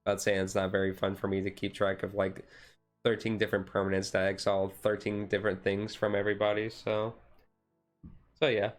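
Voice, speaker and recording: A man giving casual commentary on a card deck as a streamer, thinking aloud in a halting way. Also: on the low side, monotone, faint music behind.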